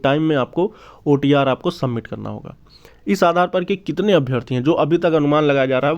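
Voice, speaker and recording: A man's voice: medium pitch, darkish tone. A man giving an informal explanatory news update, repeating and rephrasing his points as he goes. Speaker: YouTube exam news presenter. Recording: dull, lo-fi sound.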